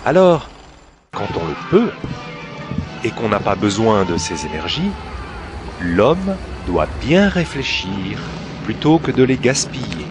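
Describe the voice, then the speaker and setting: Male narrator for a kids' educational cartoon: slow, deliberate storytelling with highly varied intonation, over clear background noise. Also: big pitch swings, music in the background. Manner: storytelling, deliberate with dramatic pauses.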